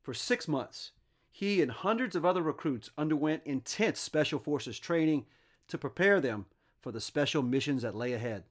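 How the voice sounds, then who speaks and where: A man hosting a podcast, reading a formal, measured scripted narration. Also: on the high side, lively intonation. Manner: measured, formal storytelling delivery. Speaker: podcast host.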